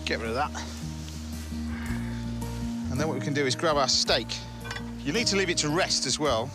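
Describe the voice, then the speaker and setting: A man's voice: on the high side, animated, moderate pace, over loud music. A man with an English accent hosting a cooking show, demonstrating a dish to camera with enthusiastic, jokey patter. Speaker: TV chef and host. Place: home kitchen on TV set.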